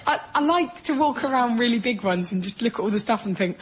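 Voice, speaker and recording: A woman's voice: a little high, animated, quick pace, a loud crowd, very noisy. A British woman guest on a comedy panel show, going off on a rambling, exasperated conversational rant.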